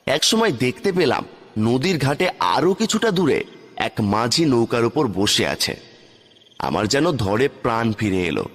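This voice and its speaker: Guy narrating a horror story, first person n dramatic, really animated pitch, lots of reverb. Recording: long reverb on the voice.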